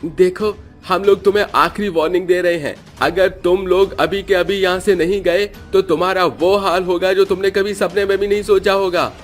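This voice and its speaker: High threatening village man, put on by a narrator, stern, angry, cartoon, music behind. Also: steady tone, normal speed, hardly any pauses, lightly acted. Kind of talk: cartoon storybook character voice.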